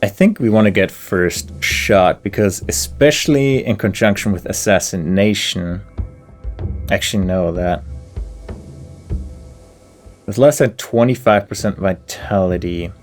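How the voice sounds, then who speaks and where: Man, low voice, gameplay commentary, musing aloud, faint music behind. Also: unhurried, long pauses. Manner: musing, weighing options aloud with fillers. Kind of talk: thinking aloud while playing.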